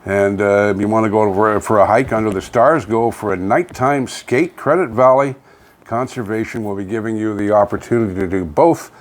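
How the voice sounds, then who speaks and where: A man with a fairly low voice co-hosts a local radio show from a home studio. He delivers community news casually, with blunt, everyday phrasing and an informal conversational style.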